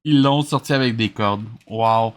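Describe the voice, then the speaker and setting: A man's voice: mid-range voice, unhurried, noisy background. A man with a Quebec French accent giving casual, mocking commentary, sarcastic and incredulous. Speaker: host commenting on an old cartoon.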